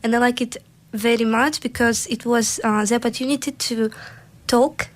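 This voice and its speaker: A young woman from Russia, co-hosting a live radio chat in a studio, halting as she searches for words. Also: fairly high pitch, fairly even intonation. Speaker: radio co-host. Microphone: studio microphone.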